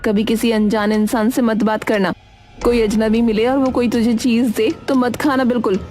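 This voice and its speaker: A woman plays a poor village mother in a cartoon moral tale. Her voice is high and mellow, yet she talks firmly, a strict and worried parent who scolds plainly. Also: level tone, normal speed, soft background music, natural voice. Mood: firm, cautioning. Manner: scolding, firm, plain-spoken. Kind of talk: cartoon moral story acting.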